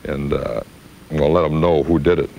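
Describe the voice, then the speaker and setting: A man's voice: extremely low pitch, fairly even intonation, brisk, gravelly, dark tone, a little hiss or hum. A man, a football player, talking in an interview in a matter-of-fact, menacing way.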